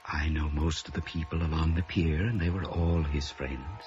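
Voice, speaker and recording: Very deep-voiced genteel funeral parlor attendant. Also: male voice, steady tone, moderate pace, darkish tone, slight background noise. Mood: helpful, formal. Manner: genteel, deferential, hesitant. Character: courteous, chatty, starstruck male funeral parlor attendant. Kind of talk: 1950s radio drama acting. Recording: muffled, humming old radio recording.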